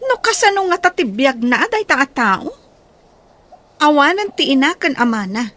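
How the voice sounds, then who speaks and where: A woman's voice: high-pitched, sing-song, moderate pace, sharp tone, full character voice. A young woman with an Ilocano Filipino accent acting a sassy, defiant student and daughter in a radio comedy-drama. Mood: haughty.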